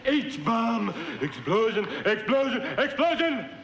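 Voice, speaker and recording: A man performing poetry, chanting repeated words in a drawn-out, theatrical way. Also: very high voice, big pitch swings. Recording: echoey, noisy archival recording.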